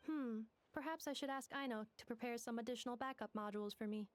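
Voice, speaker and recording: A woman's voice: on the high side, quick pace, close to an everyday voice. A woman voicing a polite, precise female automaton who speaks formally in a game. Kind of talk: video game voice acting.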